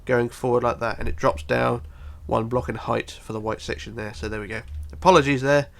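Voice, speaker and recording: A man's voice: mid-range voice, level tone, fairly quick, creaky. A man with a bunged-up, congested voice giving a casual step-by-step building tutorial, counting aloud methodically. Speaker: tutorial host.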